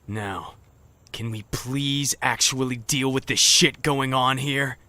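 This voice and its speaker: An actor as an abrasive male survivor in an apocalypse drama. His voice is bright and hard-edged, sneering and sarcastic, with a short temper. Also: medium pitch, fairly even intonation, takes it slowly, no room sound. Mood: sardonic. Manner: biting, sneering. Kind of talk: scripted audio drama acting.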